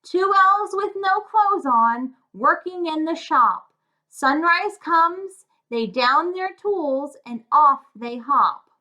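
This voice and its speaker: A woman's voice: sits high, animated, slow, sharp tone, muffled recording. A woman reading a rhyming fairy tale aloud to children in a sing-song way, often turning to her young listeners with questions. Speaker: children's story reader and tutor.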